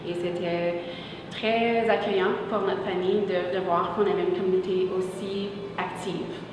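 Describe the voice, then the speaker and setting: A middle-aged woman, a teacher and parent, talks earnestly in an interview testimonial, pausing and restarting often. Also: lowish voice, fairly even intonation. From Winnipeg, Manitoba, Canada. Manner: earnest, with many pauses and restarts. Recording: echoey room, mic far off, noise behind.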